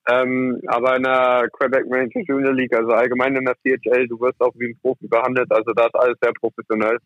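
A young man speaks in a mid-range voice with a halting, casual delivery, like an athlete being interviewed. The sound is dull and close, with very little room around it. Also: mid-range voice, little pitch movement, no echo. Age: young adult. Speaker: ice hockey player, interviewed guest. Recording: muffled, dull lo-fi audio.

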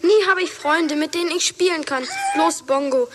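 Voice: sits high, moderate pace, background noise, natural voice. A performer playing a lonely, earnest young boy who longs for friends in a children's radio play. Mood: plaintive. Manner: plaintive, earnest.

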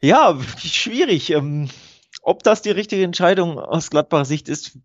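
A man's voice: a little high, expressive, measured pace, highs cut off. A man co-hosting a football betting podcast, speaking hesitantly and hedging his opinions. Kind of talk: casual football analysis chat.

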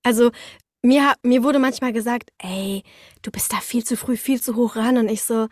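A young woman with a fairly high voice talks casually as an interview guest. She tells things expressively, slipping into direct speech, and the recording is close and dry. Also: moderate pace, a little mellow, no room sound. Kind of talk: casual podcast conversation.

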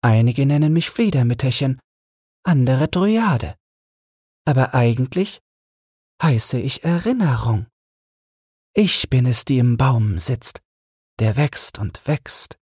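A man voicing a gentle little girl tree spirit in a bedtime fairy tale, speaking in a slow, lilting way. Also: mid-range voice, sing-song, slightly rough, dry recording, clean studio sound, pitched above the performer's own voice, full character voice. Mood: mysterious, gentle.

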